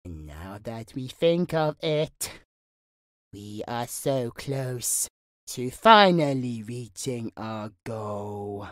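Slow, sinister, swooping demonic entity. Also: female voice, very deep voice, very animated, dry recording, clean studio sound, exaggerated voice. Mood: menacing. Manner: slow, singsong, taunting, giggling menace. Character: gleeful, sinister demonic entity calling itself "we". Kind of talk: horror audio roleplay, theatrical monologue.

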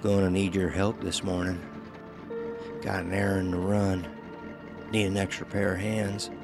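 A man's voice: low-pitched, level tone, a little slow, over music. An actor playing a haunted, resolute working man in a horror audio drama, terse and folksy in short clipped phrases. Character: Elmer Gage, haunted, resolute working man on a grim errand.

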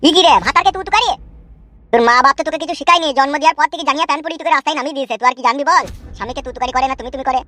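A man's voice: extremely high pitch, very fast, sharp tone. A man voicing a grumpy, blunt village husband who bickers with his wife in a cartoon comedy. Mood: angry, bickering.

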